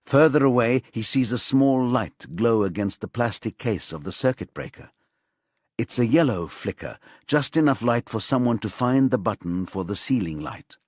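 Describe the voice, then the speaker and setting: A man reading scripted thriller narration as an audiobook narrator, with measured, suspenseful storytelling. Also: fairly low pitch, a little mellow, slightly rough, no echo, clean studio sound.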